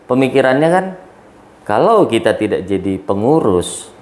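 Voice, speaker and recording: A man in his forties from Aceh, a labor union chairman, speaking in a measured, thoughtful way with pauses in a casual podcast interview. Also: mid-range voice, sing-song, a little mellow. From Aceh, Indonesia.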